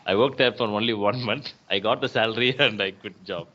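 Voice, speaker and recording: A man with a Tamil accent hosting an English practice chat, speaking clearly in a friendly, encouraging way. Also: lowish voice, a little fast, sharp tone, lo-fi sound, a little hiss or hum. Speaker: host, English conversation partner.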